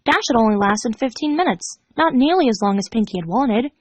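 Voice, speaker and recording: A woman's voice: on the high side, lively intonation, a little fast. A woman reading a story aloud as scripted narration, as a fan fiction reader.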